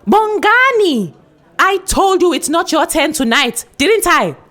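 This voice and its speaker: A woman plays a fierce madam of a drinking den in a short story. She is loud and commanding, her voice pitched high and forceful as she keeps customers in order. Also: sing-song, unhurried, exaggerated voice. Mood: furious. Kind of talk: acted dialogue in a short story reading.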